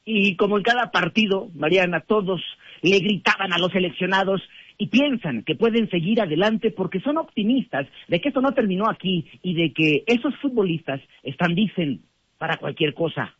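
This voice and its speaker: A man with a Mexican accent giving a measured radio field report. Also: high-pitched, animated, a bit bright, slightly rough, dry recording, dull audio. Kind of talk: news report narration.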